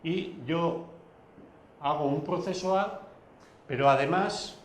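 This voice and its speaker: A man whose first language is Basque, giving a recorded video lecture, pausing often with fillers and restarts. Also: a little high, reverberant, a little hiss or hum. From Basque Country. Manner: hesitant, many fillers and restarts.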